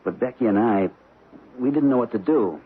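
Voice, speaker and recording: A man's voice: mid-range voice, expressive, normal speed, husky, narrow, tinny audio. An actor playing a protective, plain-spoken husband and father in an old radio drama, matter-of-fact and conversational. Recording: band-limited, noisy old radio recording.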